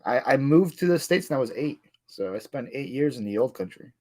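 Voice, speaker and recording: A man's voice: medium pitch, animated, fairly quick, darkish tone. A man from Ukraine with a slight Ukrainian and northeastern American accent, chatting casually as a podcast guest, laughing often and repeating his words. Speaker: guest reseller.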